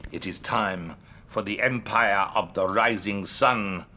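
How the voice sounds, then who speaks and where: A grandiose Soviet premier speaks slowly in a Russian accent. The voice is forceful and commanding, with smooth, pompous flattery in dramatic game cutscene style. There is faint noise behind it. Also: male voice, fairly low pitch. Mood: grandiose, menacing. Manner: slow, pompous oratory. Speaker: video game character actor.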